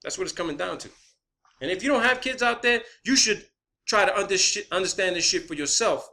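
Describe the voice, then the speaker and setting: A man's voice: sits high, animated, brisk, gravelly, pushed and bright. A man speaking as an online video creator in an impassioned, profane rant, combative and emphatic, repeating phrases to drive points home.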